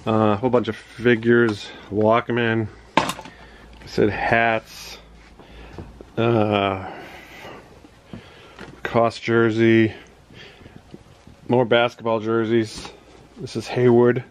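Slow-paced middle-aged man, noisy home recording. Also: mid-range voice, little pitch movement. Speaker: reseller showing storage unit finds. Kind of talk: casual handheld show-and-tell. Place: small cluttered home office, indoors. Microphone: handheld phone or camera.

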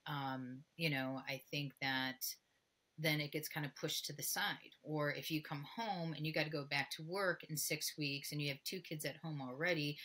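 A middle-aged woman speaks in a low voice with a bright, forward edge. She is candid and conversational, like an expert guest on a podcast, and slips into quoted dialogue as she explains things on a video call. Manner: candid, animated, often acting out quoted dialogue. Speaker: guest expert, pelvic floor physical therapist. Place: indoor room, video call.

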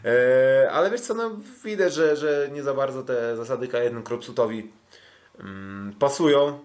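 A Polish man co-hosting a sports podcast, recapping fights casually in a hesitant way with drawn-out fillers and restarts. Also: mid-range voice, expressive, darkish tone. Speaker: podcast co-host, kickboxing commentator.

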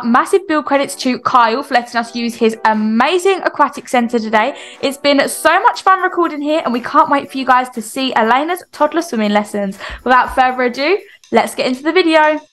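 A woman's voice: sits high, a little fast, a bit bright. A young woman with a British accent, chirpy and exclaiming, doing a game roleplay vlog as the mum. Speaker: video creator playing a mum in a game roleplay.

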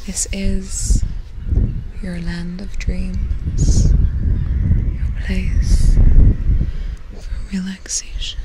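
A young woman whispering a soothing, hushed ASMR guided relaxation, close to the microphone outdoors. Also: on the low side, monotone, drawn-out words, pauses often, low-quality recording. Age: young adult. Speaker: ASMR host. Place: outdoors on a seaside cliff. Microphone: close binaural microphone.

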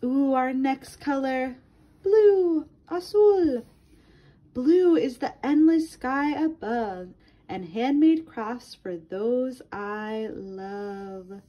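A woman's voice: high-pitched, very slow, muffled recording. A woman reading a picture book aloud to children in a sing-song manner, addressing her young listeners directly.

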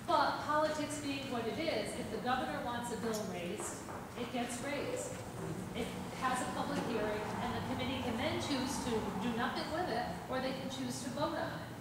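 A woman's voice: high-pitched, normal speed. A middle-aged woman legislator explaining at a town hall meeting, firm and plain-spoken. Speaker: state legislator. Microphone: far room camera. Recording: echoey hall, distant mic, noisy.

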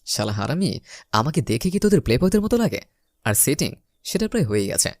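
Animated young man telling a romantic story. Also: mid-range voice, fast, no echo, clean studio sound. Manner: theatrical, acts out his lines. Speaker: story narrator. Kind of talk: dramatised first-person romantic comedy narration.

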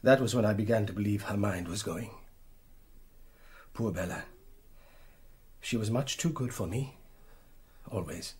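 A devoted, sorrowful husband in a radio drama. His voice is very husky and on the low side. He speaks in a subdued, mournful way. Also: measured pace, pauses often, a little mellow. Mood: sad, subdued.

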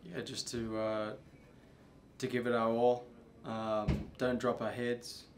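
A young man, a soccer player, answering questions at a post-match press conference in a subdued, halting way with many fillers. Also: mid-range voice, level tone, slight background noise. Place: press room before a sponsor backdrop.

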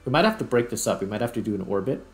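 Low creaky-voiced man commenting on gameplay. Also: lively intonation. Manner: thinking aloud in broken, halting phrases. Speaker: gaming video host. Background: music.

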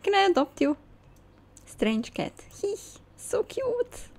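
A woman's voice: very high-pitched, sing-song, takes it slowly, vocal fry, darkish tone. A young woman musing aloud with delight as she gives casual commentary while playing a game. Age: young adult. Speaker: game streamer. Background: game music.

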